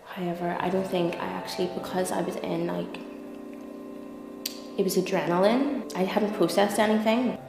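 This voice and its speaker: A woman's voice: lowish voice, fairly even intonation, normal speed. A young woman with a Belfast Northern Irish accent talks candidly in an interview, conversational and peppered with "like" and "you know". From Belfast, Northern Ireland. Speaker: interview guest, lawyer and content creator. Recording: echoey reverberant room, clear music behind. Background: music.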